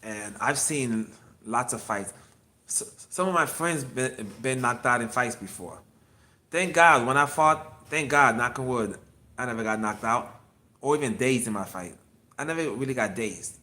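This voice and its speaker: A man's voice: mid-range voice, expressive, unhurried, sharp tone. A man in his fifties, an acupuncture physician, talking casually on a solo livestream from his clinic, rambling and repeating himself as he answers viewers. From Miami. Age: 50s.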